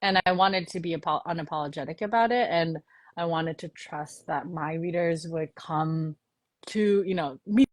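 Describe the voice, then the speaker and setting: A woman's voice: sits low, normal speed, dry recording. A woman from New York whose first language was Korean, a novelist guest talking earnestly in a casual interview, often saying "you know". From New York, United States.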